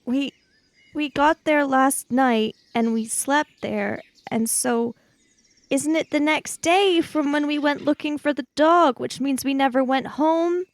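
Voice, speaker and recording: A woman with a high voice plays a tabletop role-playing game. She improvises casually, talking in character and describing what her character does, pausing to think as she goes. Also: no echo.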